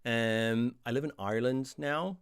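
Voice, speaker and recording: A middle-aged man with a New Zealand accent, answering viewer questions in a casual live stream about design software. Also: medium pitch, unhurried, smooth. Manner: chatty, reads viewer questions aloud, animated asides. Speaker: online design course instructor.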